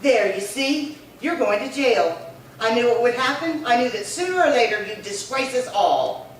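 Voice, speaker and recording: A woman's voice: a little high, animated, normal speed, natural voice. A woman playing a bossy, haughty elderly sister of about eighty in an amateur radio crime drama, huffy and scornful. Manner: huffy, scornful, old-fashioned speech. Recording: very echoey room, distant mic, faint noise.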